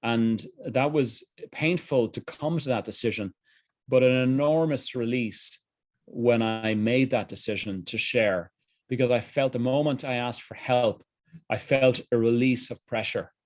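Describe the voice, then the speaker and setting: A man's voice: mid-range voice, a little slow, a little mellow, no room sound, clean studio sound. A middle-aged man with a Dublin Irish accent, speaking candidly as an interview guest, often restarting phrases. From Ireland. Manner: candid, earnest, frequent restarts. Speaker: guest, executive coach. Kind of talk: reflective interview answers.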